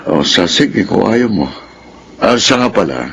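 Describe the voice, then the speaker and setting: An actor playing a doting, gently nagging middle-aged father in a radio drama, with a Filipino accent. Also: lowish voice, very animated, a little slow, creaky, exaggerated voice. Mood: coaxing, fatherly. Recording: faint background noise.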